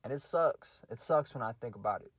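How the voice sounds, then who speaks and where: A young man in his mid-twenties rants to himself in a loose, slangy, reflective way, his voice sitting at an ordinary middle pitch. The sound is dry and close, with no room around it. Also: expressive, a little fast, husky, no echo. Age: 20s. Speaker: podcast host ranting. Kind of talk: casual solo rant.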